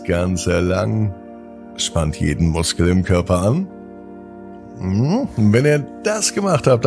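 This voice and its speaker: A man hosting a children's bedtime podcast, narrating in a gentle, drawn-out storytelling manner. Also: low-pitched, vocal fry.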